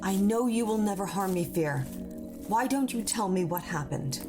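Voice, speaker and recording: A woman's voice: medium pitch, expressive, normal speed, close to an everyday voice. A woman playing a powerful, devoted moon being, earnest and persuasive, in an audio drama. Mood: concerned, questioning. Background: music under the voice.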